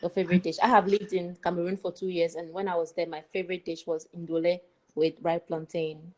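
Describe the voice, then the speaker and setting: A woman's voice: lowish voice, flat delivery, brisk, darkish tone. A woman presenting and interviewing as the host of a podcast. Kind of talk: scripted podcast introduction and interviewing.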